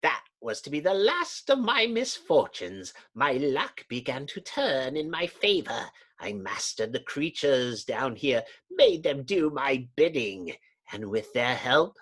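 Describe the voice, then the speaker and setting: A man's voice: mid-range voice, sing-song, slow, fairly bright tone, heavily acted. A man voicing a mad, boastful mole-like comic-book villain in a grandiose, taunting, theatrical manner. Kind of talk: dramatic comic book reading.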